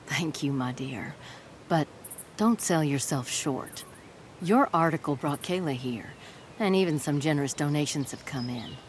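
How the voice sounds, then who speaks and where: An actress playing a protective, kindly leader of a community of outcasts in a video game, measured, formal and gently firm. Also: female voice, low-pitched, animated, a little hiss or hum. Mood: composed, kindly.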